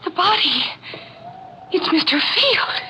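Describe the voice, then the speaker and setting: An actress playing a terrified, horrified maid in an old-time radio drama. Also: female voice, very high voice, sing-song, slow pace, pushed and bright, exaggerated voice, rough recording. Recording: old radio recording, faint noise.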